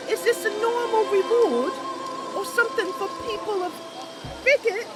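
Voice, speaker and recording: Super high lively little elf adventurer, exasperated but polite, loud music behind. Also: female voice, unhurried. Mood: teasing. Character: small young female wood elf adventurer, chirpy and exasperated. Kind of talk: voice-acted game roleplay.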